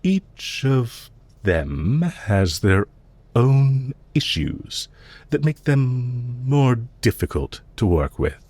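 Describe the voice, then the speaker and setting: A man playing a calm, courteous villain leader in an audio roleplay, measured, formal and politely condescending, with quiet menace and amusement. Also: fairly low pitch, husky, no echo, full character voice. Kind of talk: audio roleplay, intimate scripted acting addressed to listener.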